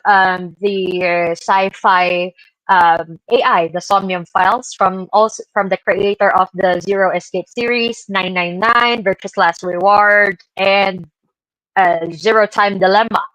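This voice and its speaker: A woman's voice: medium pitch, steady tone, measured pace, a bit bright, no echo, low-quality recording. A woman presenting informally to co-hosts on an online show, in a hesitant, conversational way. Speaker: podcast presenter. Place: online live stream call.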